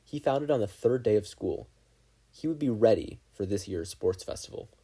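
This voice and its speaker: A man narrates fiction in a mellow, slightly husky voice. His storytelling is expressive, with lively rises and falls through the scripted prose. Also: fairly low pitch, normal speed. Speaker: fan fiction podfic reader.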